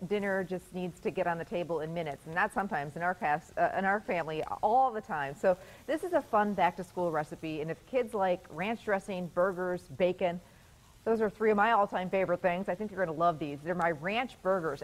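A woman's voice: fairly low pitch, a little fast, low-quality recording. A woman, hosting a morning TV cooking segment in a studio kitchen and chatting cheerfully as she cooks. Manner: chatty, upbeat, talks while cooking.

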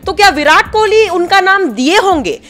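A woman's voice: very high-pitched, expressive, normal speed, a crowd in the background. A young woman hosting a studio sports discussion, posing questions to the panel and leading the talk into a handheld mic. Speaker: host. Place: indoor TV studio set.

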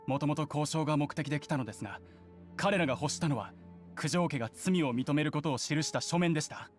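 Actor as polite, shrewd young clan heir. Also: male voice, a little high, faint music behind, natural voice. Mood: earnest, accusing. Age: young adult. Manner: polite, formal, deliberate. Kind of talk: anime game character acting.

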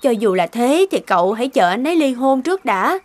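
An actress voicing a young female office worker in an animated cartoon, with a coy, expressive way of talking. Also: on the high side, fairly quick. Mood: insistent.

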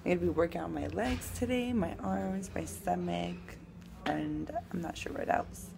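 A woman's voice: on the low side, expressive, moderate pace, vocal fry, background noise. A young woman vlogging casually on a phone held at arm's length, talking to her viewers with slang at home and at the gym. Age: young adult. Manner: chatty, slangy, talks to viewers as she goes. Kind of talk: casual vlog chat. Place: home, then a gym indoors.

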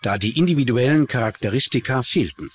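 A man's voice: medium pitch, animated, fast, lightly acted. A man dubbing a factual, precise male forensic examiner for a documentary. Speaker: voice-over for a forensic expert. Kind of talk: documentary dub voice-over.

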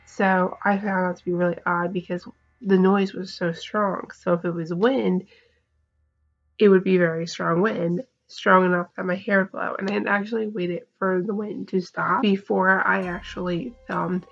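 Chatty young woman with a low voice. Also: steady tone, moderate pace, vocal fry, dull audio. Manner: chatty, retelling events with frequent "like" and "I'm like". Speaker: paranormal vlogger. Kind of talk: casual vlog talk to camera. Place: bedroom indoors. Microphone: camera facing her at desk distance.

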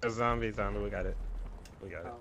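A man's voice: on the low side, fairly even intonation, quick pace. A man giving casual callouts to his team during a group game stream. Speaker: gamer in a group livestream. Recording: game gunfire and noise behind voice. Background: video game gunfire.

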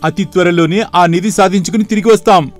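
A man playing a spoiled, greedy young village son, whiny and demanding, in an animated moral story. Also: high-pitched, brisk, natural voice. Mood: eager. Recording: background music throughout.

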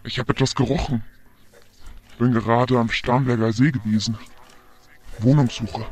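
Actor as solemn, anxious man craving quiet. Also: medium pitch, fairly even intonation, moderate pace, close to an everyday voice. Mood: solemn, yearning. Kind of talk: surreal radio play acting.

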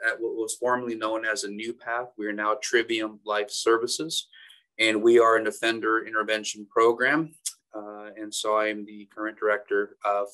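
Man in his 50s on a zoom panel, kinda low and even, lots of uhs. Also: poor audio. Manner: warm, many uh fillers. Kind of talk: self-introduction. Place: office. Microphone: video call.

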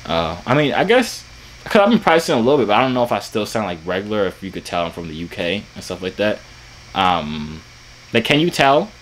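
A man's voice: sing-song, fairly quick, a little hiss or hum, low-quality recording. A young man in casual prank chat on a video call, putting on a fake British accent, jokey and playful in a small bedroom. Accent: fake British put on over American. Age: young adult. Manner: playful, jokey, bursts into loud high exclamations.

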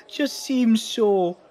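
An actor playing a laid-back, easily amused young slacker, talking informally and haltingly in an audio drama. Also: male voice, very high-pitched, darkish tone, heavily acted. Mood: giggly. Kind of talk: audio drama testimony, casual.

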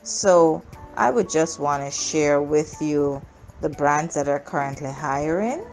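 A woman with a low voice narrates an informal travel promotion over music. She talks slowly and deliberately, breaking her sentences into short, halting phrases. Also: a little mellow. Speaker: travel agent and video host.

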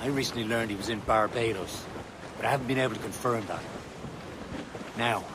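An actor in a video game playing a stern, determined assassin mentor with a grave, commanding manner. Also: male voice, on the low side, brisk, noisy background, lightly acted. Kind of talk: dramatic video game acting.

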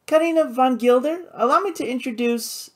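A woman's voice: sits high, normal speed, voice pushed up. A woman voicing a playful, defiant young socialite sister in theatrical audiobook dialogue. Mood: teasing, grandly introducing. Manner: teasing, theatrical.

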